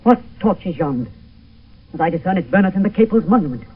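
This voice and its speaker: An actor playing an anxious old friar, agitated and exclamatory, in a radio Shakespeare play. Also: male voice, sits high, animated, fast talker, distant mic. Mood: anxious urgency. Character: anxious old holy friar, alarmed, horrified. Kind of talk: 1970s radio Shakespeare acting. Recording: muffled, echoey, hissy old radio broadcast.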